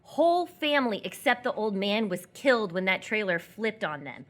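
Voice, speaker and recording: A laconic local teen, played by a woman in a radio play, passing on grim gossip. The pitch is middling but swings around in a lively way. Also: moderate pace, lightly acted. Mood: matter-of-fact, grim. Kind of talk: live radio theatre acting.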